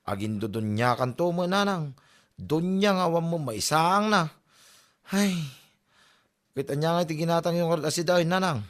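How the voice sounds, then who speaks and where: A man's voice: a little high, expressive, moderate pace, long pauses, close to an everyday voice. A man playing a nagging, teasing young son in a comedy drama, exasperated. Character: nagging, teasing young Ilocano son scolding his mother. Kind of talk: Filipino comedy audio drama.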